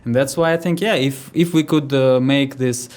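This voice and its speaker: A man's voice: medium pitch, normal speed, a little mellow. A man from Moscow with a Russian accent speaking as a podcast guest, hesitant and earnest, with many fillers. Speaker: guest, creator of a music system. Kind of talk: casual conversation.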